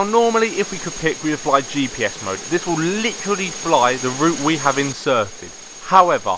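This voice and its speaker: A man's voice: on the high side, noisy background. A young British man, a student pilot, narrating an explanatory vlog voice-over.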